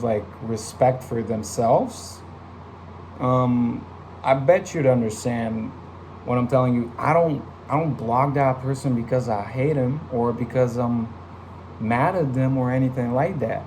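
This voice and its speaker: A man's voice: medium pitch, darkish tone, a little hiss or hum, low-quality recording. A young man giving a casual, reflective personal update from his home studio, with many fillers and restarts. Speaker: vlogger and musician.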